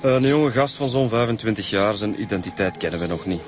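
Flemish radio detective played by a man, low voice, factual and slightly hesitant, with music underneath. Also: lively intonation, fast, lightly acted. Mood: matter-of-fact. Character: male homicide detective, matter-of-fact, briefing his colleagues. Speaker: actor. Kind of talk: naturalistic radio drama dialogue. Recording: music behind the voice.

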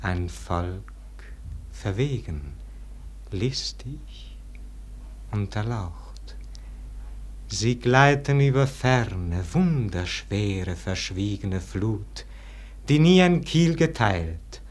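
A man's voice: on the low side, lively intonation, heavily acted. An actor playing a melancholy, yearning nobleman in a classic radio verse drama, declaiming lyrically in standard German stage diction. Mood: solemn, subdued. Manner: solemn, lyrical, drawn-out declamation.

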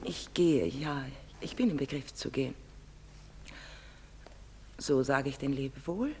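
An actress playing a melancholy, dignified woman, grave, halting and resigned, in theatrical stage style. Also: a bass voice, animated, darkish tone. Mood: mournful, resigned. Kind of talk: theatrical stage-play acting. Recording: reverberant hall, faint noise.

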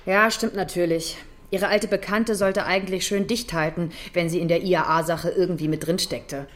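A woman's voice: fairly low pitch, steady tone, moderate pace, natural voice. Actress as a pragmatic female assistant detective. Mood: matter-of-fact. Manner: matter-of-fact, conversational. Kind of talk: German radio crime drama acting.

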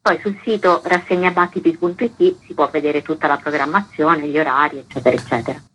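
A woman's voice: low-pitched, fairly even intonation, fairly quick, a bit bright, husky, low-quality recording. A woman speaking spontaneously as a guest in a radio interview, as a festival's artistic director.

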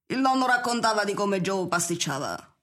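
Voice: mid-range voice, animated, normal speed, pushed and bright. An actor playing a plainspoken, welcoming hill farmer woman in an Italian radio drama, chatty and rustic. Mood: gossipy. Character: Mrs Baxter, folksy pipe-smoking hill farmer woman, plainspoken and welcoming.

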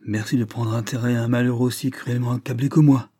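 A man's voice: medium pitch, little pitch movement, fast talker, husky, no room sound, clean studio sound, natural voice. A man playing a despairing, lovesick lord. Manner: hushed, despairing. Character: anguished, lovesick older brother, a reserved lord.

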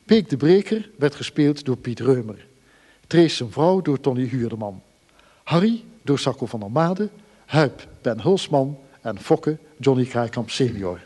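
A man's voice: medium pitch, animated, unhurried, mellow. A man reading scripted closing credits for a radio play as its announcer. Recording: long reverberant hall sound.

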